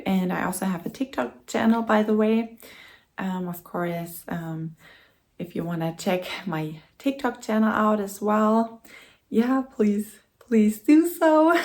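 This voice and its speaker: A woman's voice: medium pitch, a little mellow. A young German woman, a native German speaker, chatting in English in a rambling vlog from home, recorded on a phone and laughing often between phrases. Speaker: vlogger. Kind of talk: casual vlog chat. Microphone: phone camera.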